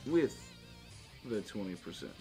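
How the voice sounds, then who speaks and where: A man's voice: fairly low pitch, huge pitch swings, unhurried, vocal fry, mellow. A man acting as game master, casually narrating a tabletop role-playing game in an informal way, with some swearing. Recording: music playing behind the voice. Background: music.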